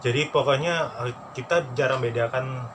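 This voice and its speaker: A man chatting casually on a homemade vlog, playful and teasing, laughing easily as he asks a friend questions. His voice sits at an ordinary middle pitch, and a steady noise runs behind him throughout. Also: moderate pace. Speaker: vlog host interviewing a friend. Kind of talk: casual chat. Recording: noisy background.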